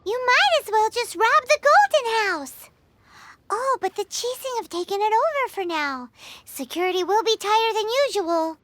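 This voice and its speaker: A woman voicing a tiny, sassy childlike companion in a video game, blunt, pert and quick to be indignant. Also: very high voice, expressive, a bit bright, a little hiss or hum. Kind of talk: video game dialogue acting. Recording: music playing behind. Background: background music.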